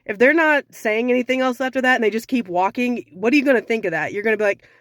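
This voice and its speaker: Young woman, slightly raspy, frank and sassy, casual advice talk, dry close sound. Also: fairly high pitch, fast, a bit bright, no room sound. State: slightly raspy from sinus trouble. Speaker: vlogger giving dating advice. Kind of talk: casual direct-to-camera talk.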